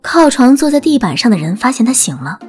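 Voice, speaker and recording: A woman's voice: fairly high pitch, sing-song, fairly quick, a little mellow. A woman reading a scripted audiobook story as its narrator. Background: background music.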